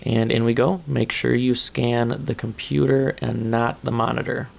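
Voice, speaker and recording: Hoarse, gravelly male gamer narrating a walkthrough; flat, even delivery. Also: medium pitch, a little slow. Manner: calm step-by-step instructions. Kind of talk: casual game walkthrough commentary.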